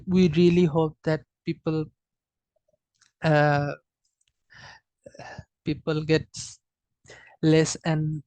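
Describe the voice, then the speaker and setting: A student giving an informal data presentation in a halting, hesitant way, often restarting phrases. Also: medium pitch, a little mellow, no echo, rough recording.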